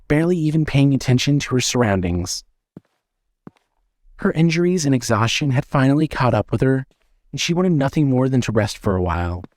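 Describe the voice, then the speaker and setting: A man reading scripted story narration in a measured, expressive, dramatic way. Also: medium pitch, slight background noise.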